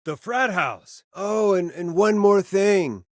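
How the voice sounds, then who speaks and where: A man's voice: high voice, expressive, slow, dry recording, studio quality. A man voicing a harried late-night radio host in a video game, wry but caring, with a sardonic yet reassuring conversational delivery. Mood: exasperated, strained.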